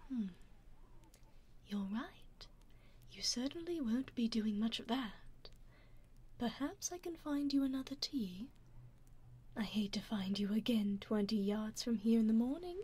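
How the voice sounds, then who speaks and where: A woman with a British accent voicing a teasing aristocratic female vampire in an ASMR roleplay, whispering softly and slyly into a binaural mic. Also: a little high, expressive, a little slow, long pauses, breathy edge. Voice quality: soft whisper, sly and mocking.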